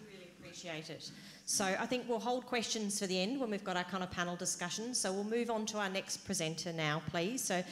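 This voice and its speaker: A woman moderating a conference session, thanking one speaker and briskly introducing the next. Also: mid-range voice.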